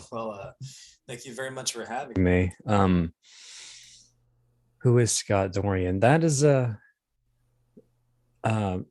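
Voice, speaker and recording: Male, early thirties, low-pitched, dryly recorded; casual interview guest with a halting, self-deprecating wit. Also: a little mellow, no room sound. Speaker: guest, voice actor and comedian. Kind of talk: casual interview, anecdotal storytelling.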